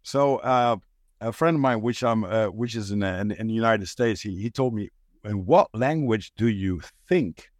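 A man's voice: fairly low pitch, a little mellow, dry recording, studio quality. A man from the Netherlands with a Dutch accent, hosting a casual chat, often searching for words and restarting. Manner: halting, many restarts and fillers, searches for words. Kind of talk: casual conversation.

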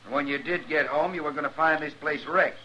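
An actor playing a mean, sly old homesteader with rustic folksy talk in a radio western. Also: male voice, fairly high pitch, a little fast, bright, forceful tone. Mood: menacing. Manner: rustic folksy drawling grammar. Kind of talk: 1950s radio western acting. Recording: vintage radio, dull, faint noise.

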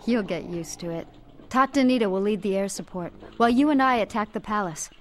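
A woman's voice: medium pitch, expressive, brisk, a little hiss or hum, lightly acted. An actress playing an earnest, determined young Jedi woman in a space fantasy audio drama. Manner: earnest, urgent.

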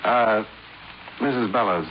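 Through the hiss of a muffled 1950s radio broadcast comes an actor as a hard-boiled American male investigator. His voice sits fairly low, and he speaks in terse, matter-of-fact lines with an inquisitive edge. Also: unhurried, lightly acted. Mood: probing. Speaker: lead actor and narrator of a detective series. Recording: noisy, narrow-band 1950s radio recording.

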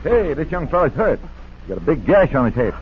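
Hearty posse lawman with a soft heart: highish voice, wildly swooping intonation, tinny old-radio sound. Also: sing-song, fairly quick. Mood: concerned. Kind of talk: 1940s radio acting. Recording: tinny, noisy 1940s radio recording.

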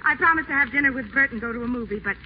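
An actress playing a brisk, fed-up young woman secretary in a 1940s radio play, firm and exasperated. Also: fairly high pitch, natural voice. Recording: muffled old radio broadcast, faint hiss.

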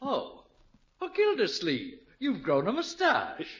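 An absent-minded old gentleman dithers amiably through a radio comedy. His voice is fairly high and wanders widely in pitch, on a muffled, noisy old broadcast. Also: wildly swooping pitch, heavily acted. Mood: muddled, amiable. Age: older. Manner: dithering, halting, forgetful. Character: absent-minded, muddled, amiable older father-in-law. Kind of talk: 1950s radio comedy acting.

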